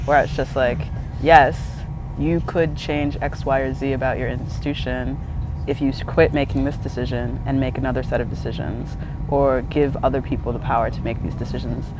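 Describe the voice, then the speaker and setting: A man's voice: mid-range voice, little pitch movement, moderate pace. A man in a public conversation, speaking in a thoughtful, searching way with many fillers, outdoors at a busy train station. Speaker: guest speaker. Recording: noisy outdoor street recording. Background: traffic and vehicles.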